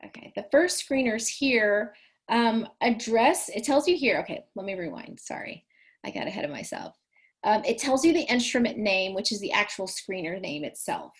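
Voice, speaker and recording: A woman, her voice a little raspy, leading an online training for school counselors in a chatty, folksy way. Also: fairly high pitch, expressive, moderate pace. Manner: chatty, folksy, frequent ums and restarts.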